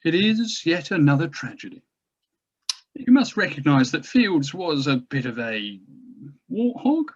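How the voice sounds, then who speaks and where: A man plays an eccentric doctor in his sixties for an audio drama table read. The voice is high and very animated, with a rasp and a pedantic, dismissive manner. The recording is a little dull. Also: measured pace. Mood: brisk, dismissive. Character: pensive mid-sixties male doctor with disconcerting glee. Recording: dull, muffled lo-fi microphone.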